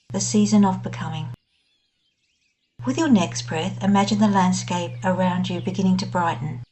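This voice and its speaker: A woman guiding a scripted meditation in a gentle, soothing, unhurried manner. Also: mid-range voice. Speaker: meditation guide. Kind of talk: scripted guided meditation narration.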